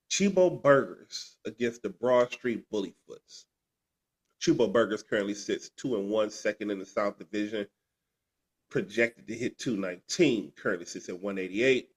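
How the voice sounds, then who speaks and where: A man's voice: on the low side, normal speed, breathy edge, poor audio. A sick, congested man co-hosting a podcast, rambling through a fantasy football recap with many fillers and restarts. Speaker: podcast co-host.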